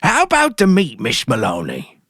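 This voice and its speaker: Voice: mid-range voice, huge pitch swings, moderate pace, fairly bright tone, no echo, clean studio sound, full character voice. A narrator voicing a friendly male grocer with a folksy, pleasant manner.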